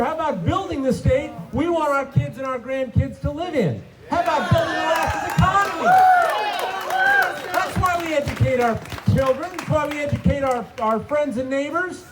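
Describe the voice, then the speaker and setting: High-voiced senator firing rhetorical questions. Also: male voice, background noise. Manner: punchy rhetorical questions, emphatic. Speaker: state senator. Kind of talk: rally speech.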